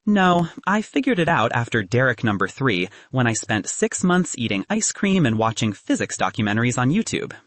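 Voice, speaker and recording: A man's voice: medium pitch, sing-song, moderate pace, hardly any pauses, fairly bright tone, no room sound. A man voicing a young woman physicist in an audiobook, confident and frank, with a dry, advice-giving manner. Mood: wry, upbeat.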